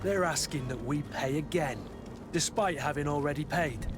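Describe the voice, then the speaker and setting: Guy acting sardonic soldier captain in a game, blunt and grumbly, voice a bit high, music under. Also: normal speed, natural voice. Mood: exasperated. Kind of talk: scripted video game dialogue. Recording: music behind the voice.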